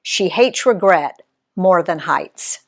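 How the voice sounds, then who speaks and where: A woman reading her own travel story aloud in an expressive read-aloud style, giving the quoted people voices of their own. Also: fairly low pitch. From Alabama, USA. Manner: unhurried, lively reading that voices the quoted characters.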